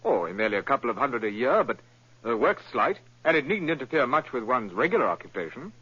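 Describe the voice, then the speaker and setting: An actor playing an eager, fawning young shop assistant who speaks deferentially and stammers in an old-time radio drama. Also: male voice, mid-range voice, big pitch swings, fast, fairly bright tone, slightly rough. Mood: coaxing. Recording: dull lo-fi vintage radio recording.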